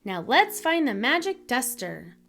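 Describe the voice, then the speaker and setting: A woman's voice: a little high, very animated, unhurried, gravelly, fairly bright tone, full character voice. A woman voicing an observant, eager little girl hero in a children's storybook read-aloud.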